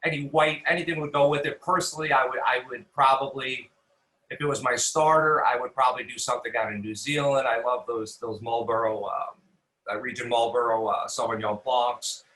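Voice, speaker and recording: American male chef, bright forceful voice, casual interview guest who doubles back on his words, roomy echo. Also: mid-range voice, steady tone, a little fast. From New York City, United States.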